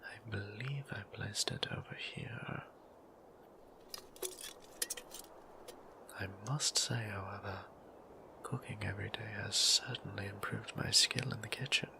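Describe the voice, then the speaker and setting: Man playing a whispering, creaky-voiced gentle vampire priest. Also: steady tone. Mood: fond, pleased. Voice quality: breathy whisper with creaky low tone. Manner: formal, old-fashioned, polite, measured phrasing. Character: gentle, courteous male vampire priest, fond and bashful. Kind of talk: intimate whispered audio roleplay.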